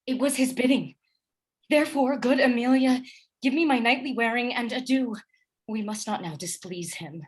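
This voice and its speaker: A woman playing a gentle, melancholy noblewoman and obedient wife in a Shakespearean radio play, with a wistful manner. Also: a little high, moderate pace, husky. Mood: dutiful, subdued.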